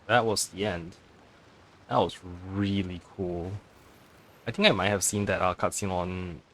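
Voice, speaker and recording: Very low-voiced man streaming a game. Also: a bit bright, slight background noise. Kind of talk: casual live let's play commentary. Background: faint game music.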